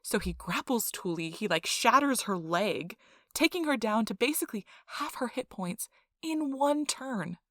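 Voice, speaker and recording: A woman playing an excitable, nerdy young girlfriend who rambles casually about her tabletop game in an animated ASMR role-play. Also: fairly high pitch, a little slow, no room sound, studio quality. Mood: excited, dramatic. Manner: animated, breathless emphasis, frequent "like" asides.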